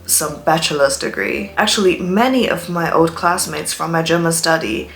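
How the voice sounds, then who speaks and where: A woman's voice: deep voice, moderate pace, soft background music. A Chinese woman in her twenties, a student, telling stories in a chatty, dramatic way, speaking English with an accent between British and American. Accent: English learned from TV, between British and American. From China, Shanghai. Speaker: student vlogger. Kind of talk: casual monologue vlog.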